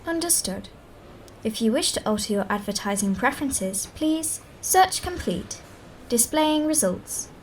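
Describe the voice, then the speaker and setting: A woman's voice: on the high side, animated, unhurried, slight background noise. A woman playing a polite automated station computer that speaks in formal, scripted phrases for a sci-fi audio drama. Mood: polite, impassive.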